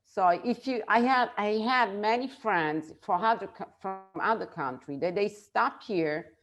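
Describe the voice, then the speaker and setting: A woman with an Italian accent, a native Italian speaker, chatting on a video call and often pausing to search for words. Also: mid-range voice, soft background music. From Italy. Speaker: guest. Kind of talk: casual video call chat.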